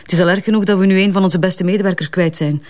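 A woman acts out a self-assured Flemish advertising strategist in a crime drama. She answers police questions briskly and candidly, with small hesitations. When pressed she turns defensive, but the delivery stays natural. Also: fairly low pitch, steady tone, darkish tone, natural voice. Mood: composed, firm.

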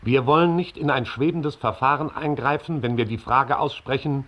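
A muffled, band-limited radio recording carries an actor playing a male newspaper voice. He is mid-pitched and reads in a formal, declamatory way, as an editorial in a radio play. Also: normal speed, close to an everyday voice. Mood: sternly formal. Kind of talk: formal read-out in a radio drama. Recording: dull band-limited old radio sound.

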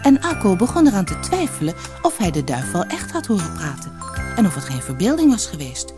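A woman reading a fairy tale aloud as an expressive scripted storyteller. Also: mid-range voice, brisk, over music.

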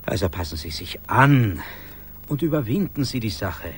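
An actor playing a smug, condescending office colleague in a German radio drama, reasoning and lecturing in a declamatory way. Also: male voice, on the low side, very animated, moderate pace, slightly rough, full character voice. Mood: placating, calm.

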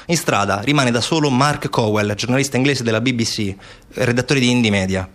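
A man's voice: medium pitch, fairly bright tone. A man delivers urgent, insistent theatrical narration in the manner of documentary storytelling. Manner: urgent, insistent, repetitive staccato phrasing. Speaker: stage narrator, actor.

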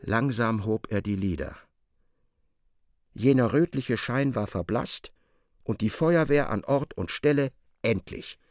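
An actor playing an intense storyteller, narrating a feverish tale for radio drama. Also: male voice, mid-range voice, animated, unhurried, pauses often, no echo, faint music behind, natural voice. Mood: urgent, intense.

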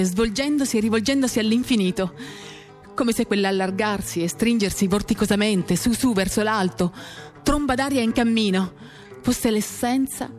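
A woman giving a measured, expressive dramatic reading of a first-person novel, pausing as she tells it. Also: medium pitch. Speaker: reader of a literary work. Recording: acoustic guitar music behind the voice. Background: acoustic guitar accompaniment.

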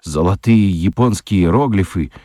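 A man narrating a children's radio play as an expressive, dramatic storyteller. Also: low voice, normal speed, no room sound, clean studio sound.

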